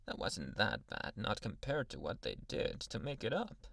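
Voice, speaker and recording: A man playing a jealous, dominant anime boyfriend in an ASMR roleplay, teasing and menacing, with a deliberate delivery that dips into whispers. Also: fairly low pitch, sing-song, gravelly, a bit bright, breathy edge, no echo, clean studio sound, heavily acted. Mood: condescending, coaxing.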